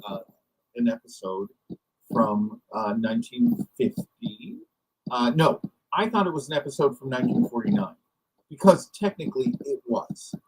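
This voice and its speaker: A man's voice: medium pitch, sing-song, normal speed, vocal fry, a little mellow, breathy edge, rough recording. A man, hosting a livestream in casual, rambling chat. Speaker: livestream host.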